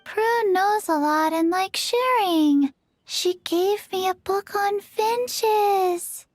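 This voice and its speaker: Very slow, tiny little girl. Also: very high voice, exaggerated voice. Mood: sweet, flat calm. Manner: halting, simple phrases. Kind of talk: anime video game voice acting. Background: faint background music.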